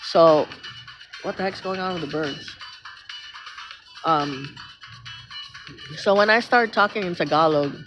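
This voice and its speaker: A woman's voice: on the low side, slow pace, pauses often, a bit bright. A middle-aged woman from the Philippines with a Filipino accent, chatting casually on a live stream, rambling through stories and thanking viewers by name. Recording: slightly muffled stream audio with music. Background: background music.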